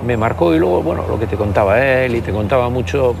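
Gravelly older man. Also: medium pitch, animated, fast talker, background noise. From Basque Country, Spain. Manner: reflective, many hesitations and restarts. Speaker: politician guest. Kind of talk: conversational interview.

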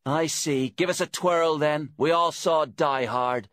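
A man's voice: fairly high pitch, measured pace, a bit bright, dry recording, clean studio sound, voice pushed up. A man voicing a bossy, sneering female villain who barks orders in an audiobook thriller. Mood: mocking. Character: commanding, sneering female accomplice with a gun.

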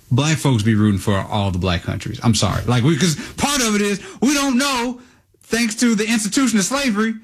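Husky-voiced male radio host. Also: sits high. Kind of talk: casual sports talk.

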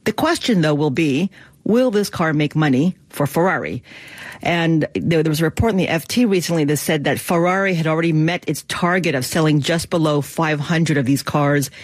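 A woman's voice: low voice, moderate pace, no room sound. A woman reporting business news live on radio, explaining the story in a fluent, conversational way.

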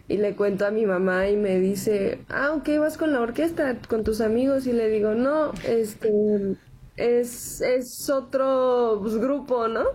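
Casting: young woman in her twenties with a Mexican Spanish accent, mellow, soft-spoken, faint background hiss. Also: mid-range voice. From San Antonio, Texas. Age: 20s. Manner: soft-spoken, many "este" fillers. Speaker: guest musician. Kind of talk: interview answers.

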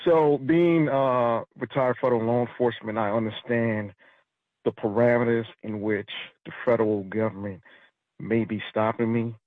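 A man from New York City calling into a radio show, speaking in a measured, matter-of-fact way. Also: medium pitch, steady tone, no echo. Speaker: caller, retired federal officer. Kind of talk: phone-in commentary. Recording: tinny phone line.

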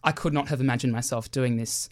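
A man's voice: medium pitch, steady tone, fast. A teenage male student singer answering interview questions hesitantly, with many ums and self-deprecating asides.

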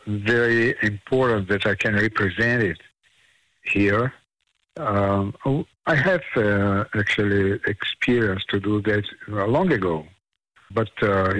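A middle-aged conductor from Armenia, a non-native speaker, talking slowly with many fillers and restarts in a radio interview. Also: male voice, fairly low pitch, a bit bright, dry recording, rough recording. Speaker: conductor and pianist.

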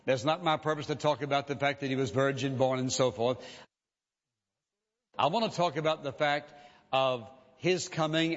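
A man preaching a sermon as a pastor, measured and emphatic, repeating key phrases for weight. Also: mid-range voice, fairly bright tone. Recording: dull lo-fi sound with long hall reverb.

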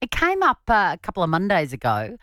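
Chatty Australian female radio host, deep-ish voice, animated, close dry mic. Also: fairly quick, dry recording. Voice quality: low register. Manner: laughs often, chatty. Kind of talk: casual radio chat.

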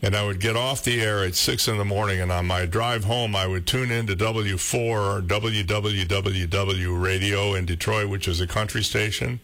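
A middle-aged radio man with a deep, low baritone, talking in an easygoing way and gently poking fun at himself as he works through his thoughts. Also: fairly even intonation, normal speed, hardly any pauses. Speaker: radio host. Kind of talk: casual conversation.

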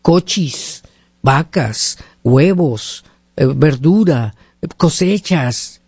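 High-pitched, creaky radio host. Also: male voice, expressive, very slow, darkish tone, no room sound, clean studio sound. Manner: folksy, talkative, rhetorical questions. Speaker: radio call-in show host. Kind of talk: casual opinionated on-air chat with callers.

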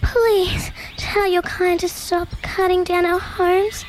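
A woman voicing a little boy koala cub in a children's story, squeaky and pleading. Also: fairly even intonation, slow pace, background noise. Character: little boy koala and tiny possum, timid.

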